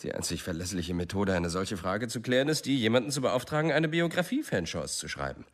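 Reserved male editor, radio drama, wry, emphatic, fairly low, animated intonation. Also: a little fast. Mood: measured. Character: reserved male editor, incredulous when doubted.

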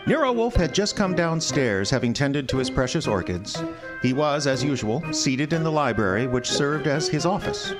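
Animated male radio announcer over music. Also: mid-range voice, moderate pace. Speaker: host and announcer. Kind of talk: scripted radio announcing and narration.

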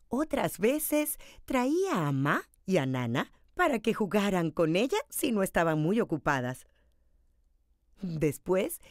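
A woman storyteller reading a children's picture book aloud in an expressive, dramatized way. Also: medium pitch, dry recording, studio quality.

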